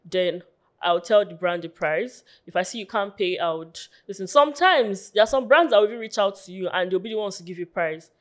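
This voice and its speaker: A woman in her twenties from Lagos with a Nigerian accent, a guest influencer chatting casually in a small studio on a boom mic, full of "like" fillers. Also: expressive, a little fast. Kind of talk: casual interview chat. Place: small pink-walled studio. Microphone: studio mic on boom arm.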